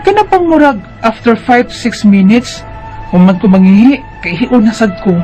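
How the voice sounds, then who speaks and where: A woman's voice: a little high, sing-song, moderate pace, mellow, lo-fi sound, soft background music. A woman with a Cebuano Bisaya accent playing a tired, worried teenage schoolgirl who complains and questions in a radio drama. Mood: puzzled, troubled. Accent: Cebuano Bisaya Filipino.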